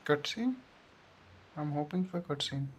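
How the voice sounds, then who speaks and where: A woman's voice: low voice, animated, unhurried, a little hiss or hum. A woman game streamer making short, casual live reactions while playing. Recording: game music behind the voice.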